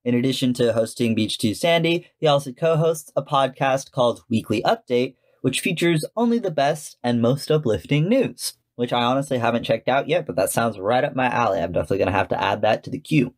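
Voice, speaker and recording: A man's voice: mid-range voice, expressive, fairly quick, few pauses. A man hosting a solo podcast, giving scripted commentary with casual asides in a chatty, enthusiastic way. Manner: chatty, enthusiastic fan talk. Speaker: podcast host.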